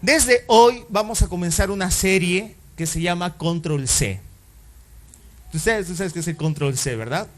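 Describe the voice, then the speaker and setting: High-voiced male pastor preaching. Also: animated, measured pace. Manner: asks the congregation frequent rhetorical questions; conversational, with fillers and self-corrections. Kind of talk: sermon.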